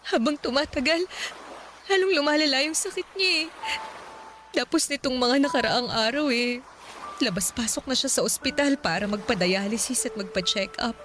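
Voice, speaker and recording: A woman's voice: sits high, moderate pace, fairly bright tone, slight background noise. An actress playing a polite, worried young woman caring for her sick father. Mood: sad. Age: young adult. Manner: polite, pleading.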